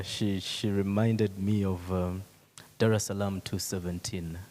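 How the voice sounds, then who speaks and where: A man chairing a conference session in an informal, chatty, anecdotal way with hesitant pauses. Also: lowish voice, mellow. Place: conference hall.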